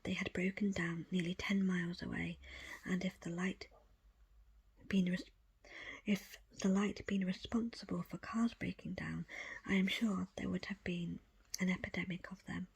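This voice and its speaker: A woman reading a book aloud as ASMR in a breathy, unvoiced whisper, with hushed, deliberate phrasing in short pieces. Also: fairly low pitch, fairly even intonation. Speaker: audiobook reader.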